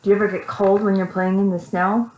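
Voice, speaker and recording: A woman with an American accent reading a story to young children, animated, with simple casual asides. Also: medium pitch, a little fast, slight background noise. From Texas, United States. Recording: echoey room.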